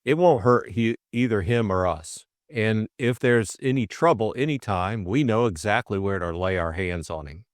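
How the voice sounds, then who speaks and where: A male narrator acts out a middle-aged police superintendent from a mystery audiobook. He is cautious, wooden and unflappable, with plain, measured phrasing. The voice sits on the low side with a wide-moving pitch, recorded very dry. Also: animated, no echo, natural voice. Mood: stolid, cautious. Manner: measured, impassive, plain-spoken.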